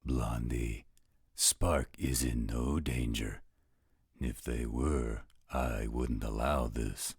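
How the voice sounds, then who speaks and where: A man playing a protective, teasing werewolf guardian in a roleplay audio drama, speaking with calm, affectionate assurance. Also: very deep voice, takes it slowly, husky, dry recording, clean studio sound, full character voice. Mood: calm, firm.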